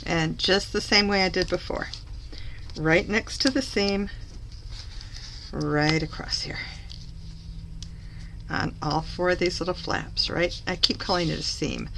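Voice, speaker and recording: Slow, chatty woman with a lingering cough. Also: on the low side, steady tone, creaky, background noise. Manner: chatty, self-correcting, talks while working. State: recovering from a cough, slightly hoarse. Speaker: papercraft demonstrator. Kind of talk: live craft tutorial.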